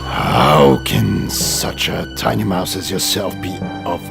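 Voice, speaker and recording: Gravelly, haughty lion king. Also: low-pitched, expressive, slow, over music, exaggerated voice. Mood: scornful. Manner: haughty, dismissive. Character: haughty grown male lion, gruff king of jungle. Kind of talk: children's storybook acting.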